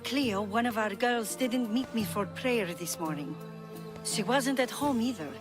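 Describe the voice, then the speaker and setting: Woman playing a high-voiced, earnest young courtesan. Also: a little slow, over music, lightly acted. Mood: worried. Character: worried loyal young courtesan seeking her friend. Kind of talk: video game dialogue acting.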